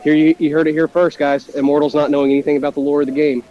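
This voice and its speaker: A man's voice: fairly high pitch, level tone, very fast, mellow. A man from Texas bantering on a casual podcast, challenging and sarcastic in manner. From Dallas, Texas, US. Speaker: podcast panelist. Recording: dull lo-fi voice chat.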